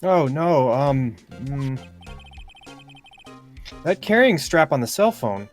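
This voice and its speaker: A man voicing an earnest, uneasy young defense lawyer, reading video game dialogue in a measured, somber way. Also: mid-range voice, sing-song, faint music behind. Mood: cagey. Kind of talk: video game dialogue read aloud in character.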